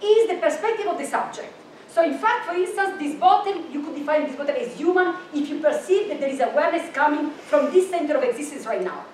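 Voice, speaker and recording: A woman's voice: sits high, animated, fast, a little mellow, slight background noise. A middle-aged woman giving a conference keynote lecture, thinking aloud with restarts, recorded from the audience in a large university room. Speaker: keynote speaker. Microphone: far camera in the audience. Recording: echoey room from far off.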